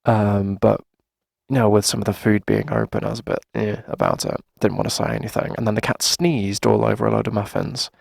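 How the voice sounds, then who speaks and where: Man acting a gravelly, low-voiced friendly café owner. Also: little pitch movement, a little fast, darkish tone, no room sound, studio quality. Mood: weary, exasperated. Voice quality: creaky, gravelly. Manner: hesitant, chatty, sighing conversational delivery. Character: friendly, flustered male coffee shop owner venting to favorite customer. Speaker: ASMR roleplay performer. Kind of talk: casual ASMR roleplay, chatty one-sided conversation.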